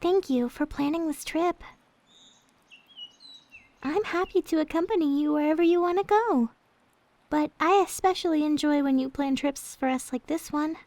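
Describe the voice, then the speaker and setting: A woman playing a gentle, devoted young maid in an ASMR roleplay, speaking in a soft, sweet, tender way. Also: high-pitched, moderate pace, pauses often, no echo. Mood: grateful, affectionate. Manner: soft, sweet, tender, hesitant when confiding.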